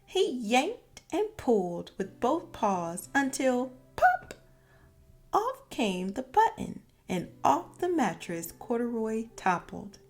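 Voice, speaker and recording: A woman narrating a children's storybook expressively, with deliberate, dramatic phrasing for young listeners. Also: fairly high pitch, wildly swooping pitch. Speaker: children's read-aloud narrator and former teacher.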